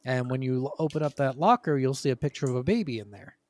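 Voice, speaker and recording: A man's voice: medium pitch, expressive, quick pace, a little hiss or hum. A man co-hosting a podcast, chatting informally and telling anecdotes in a conversational way. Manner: informal, acts out quotes conversationally. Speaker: podcast co-host. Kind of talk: casual chat, anecdotal storytelling.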